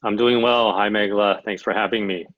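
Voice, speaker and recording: A low-voiced American man in his thirties talks casually as a podcast guest, leaning on "um" and "you know" as he goes. His voice comes over a dull, dry line. The tone stays relaxed and conversational. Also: moderate pace, no echo. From United States (Los Angeles). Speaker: guest, Amazon seller and sourcing coach. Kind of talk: podcast interview, casual storytelling.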